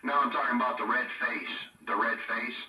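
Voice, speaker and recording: A folksy man chats casually as a radio hobbyist. His voice is very bright and forceful, drawling along in loose colloquial phrases. It comes with a strong echo, a little away from the microphone, with faint music behind. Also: mid-range voice, brisk, distant mic. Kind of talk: casual chat about radio gear. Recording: echo-effected, roomy sound from off mic.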